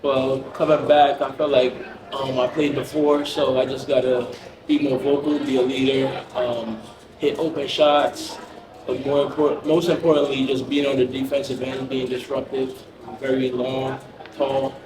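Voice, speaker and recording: Flat-toned man in his thirties. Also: medium pitch, measured pace. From Jamaica. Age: 30s. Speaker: national team basketball player. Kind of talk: answering press questions. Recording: roomy, crowd noise behind.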